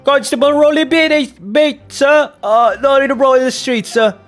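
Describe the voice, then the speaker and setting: A man's voice: very high voice, normal speed, a bit bright, soft background music, pitched above the performer's own voice. A man voicing a young, dutiful Victorian police constable in a video game, flustered and deferential.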